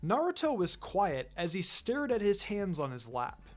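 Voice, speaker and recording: Male story narrator, fairly high voice, lively intonation, music bed. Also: moderate pace. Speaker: fan fiction reader. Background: background music.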